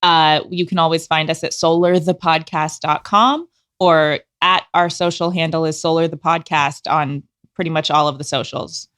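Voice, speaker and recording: A woman's voice: low voice, steady tone, normal speed, fairly bright tone, no echo, studio quality. A woman talking casually as an interview guest, in an eager, conversational way. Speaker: podcast guest, audio drama maker.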